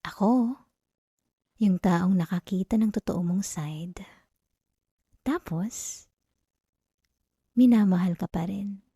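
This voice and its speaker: A woman playing a teasing, possessive young yandere girlfriend in an intimate ASMR roleplay, soft and breathy with a Filipino accent, coaxing and often slipping into whispers. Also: mid-range voice, no room sound, studio quality. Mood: soothing, possessive. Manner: slow, coaxing, giggly teasing. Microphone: close, dry ASMR microphone.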